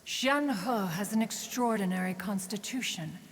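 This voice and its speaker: A woman plays a proud, stately immortal from a fantasy game. She speaks formally and aloofly at a middle pitch, with archaic dignity. A long reverb surrounds the voice. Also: unhurried, lightly acted. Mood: grave, stately. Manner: formal, haughty, archaic phrasing. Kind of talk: video game voice acting.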